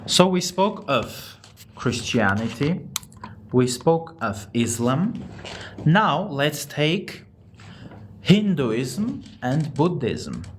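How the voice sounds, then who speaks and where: A man's voice: a little high, sing-song, a little hiss or hum. Armenian-accented man teaching English on a live stream. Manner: slow, repeats and rephrases words for learners. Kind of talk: casual live-stream English lesson reading chat.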